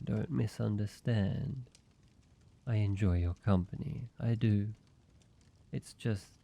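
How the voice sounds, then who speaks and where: A man's voice: fairly low pitch, gravelly, lo-fi sound, heavily acted. An actor playing an ancient, flirtatious male vampire in a roleplay audio drama, courteous and teasing with a playful air of menace. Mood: gentle, curious. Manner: playful mock-menacing, teasing, drawn-out delivery.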